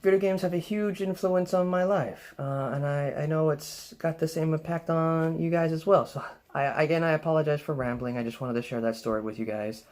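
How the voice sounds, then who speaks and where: A young man with a soft voice, vlogging in a casual, rambling way, full of fillers and restarts. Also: fairly high pitch, brisk. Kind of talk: casual rambling vlog talk.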